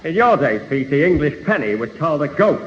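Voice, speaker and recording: An actor playing a jovial, blustering neighbour who tells boastful tall tales pompously in a 1970s radio sitcom. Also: male voice, on the high side, very animated, a little fast, a bit bright. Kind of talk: 1970s radio sitcom acting before an audience. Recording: muffled old radio hall recording, hiss.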